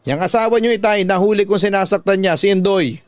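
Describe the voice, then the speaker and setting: A man's voice: high voice, brisk, no room sound, voice pushed up, lightly acted. A man voicing a fierce, protective young woman in a radio drama, scolding in an indignant, emphatic way. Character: fierce, protective young woman confronting her father's mistress. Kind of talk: Tagalog radio drama acting.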